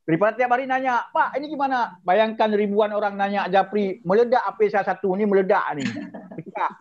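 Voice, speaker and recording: A man's voice: sits high, steady tone, brisk, a little hiss or hum. A man answering questions informally as an organization's chairman, repeating words for emphasis. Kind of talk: informal spoken explanation. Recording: slightly dull online call.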